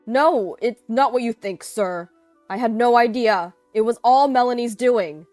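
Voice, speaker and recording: A pompous, cowardly braggart of a man, played by a woman narrator. He blusters loudly in a dramatized story, pitched up, over music. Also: measured pace, no echo, close to an everyday voice. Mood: desperate, pleading. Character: arrogant boastful young man, cowardly when cornered. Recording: music behind the voice.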